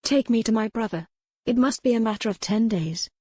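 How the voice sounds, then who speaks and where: A woman's voice: a little high, a little fast, a little mellow, no room sound, voice pushed up, close to an everyday voice. A woman voicing a proud, defiant young noblewoman in an audiobook, with formal, insistent phrasing. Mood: defiant, demanding. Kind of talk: dramatic audiobook character reading.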